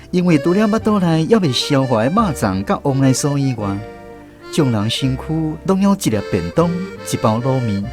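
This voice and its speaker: A man with a Taiwanese accent narrating a scripted first-person story for radio. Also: mid-range voice. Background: instrumental music.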